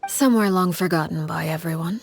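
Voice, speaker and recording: Woman playing a low-voiced, coldly composed commander. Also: natural voice. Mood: grave, restrained. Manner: measured, formal, deliberate, quietly authoritative. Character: composed, coldly calm woman leader of an orphanage house, a Harbinger. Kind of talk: video game voice acting, measured storytelling. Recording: game music under the voice.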